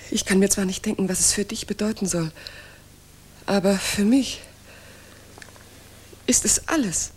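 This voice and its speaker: An actress in a 1960s German radio drama playing an emotional young woman in love, breathy, trembling and earnest. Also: medium pitch, a little slow. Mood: tender, shy.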